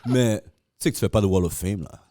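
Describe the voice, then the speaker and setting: A man's voice: lowish voice, quick pace, dark tone. A man co-hosting a podcast, trading teasing banter with the others. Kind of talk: casual podcast chat.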